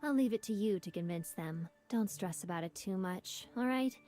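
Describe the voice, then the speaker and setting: A woman plays a sealed female Hypogean demon from a game, calm and resigned with a dry, teasing edge. Her voice sits at a middling pitch, and soft music hums underneath. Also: normal speed, close to an everyday voice. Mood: breezy. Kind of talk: game voice acting.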